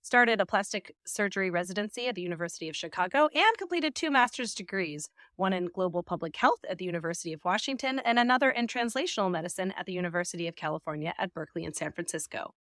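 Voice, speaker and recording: A woman's voice: fairly low pitch, expressive, quick pace, few pauses, fairly bright tone, dry recording, clean studio sound. A woman hosting a podcast, reading a scripted guest introduction with an upbeat delivery, then asking interview questions. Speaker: podcast host.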